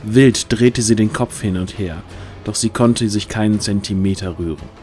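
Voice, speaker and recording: A man's voice: lowish voice, moderate pace, a little mellow, soft background music. A man reading scripted, dramatic fantasy narration as the narrator of an audio drama.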